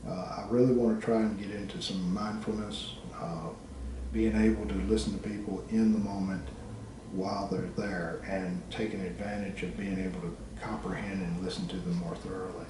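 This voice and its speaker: A middle-aged man giving a personal, reflective presentation as a student, speaking haltingly in short phrases with pauses. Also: sits low, little pitch movement. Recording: very echoey, distant microphone, background noise.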